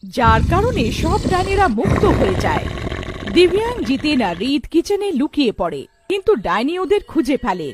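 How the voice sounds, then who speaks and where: A woman narrating an animated story in a scripted storytelling style. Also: high-pitched, normal speed, noisy background.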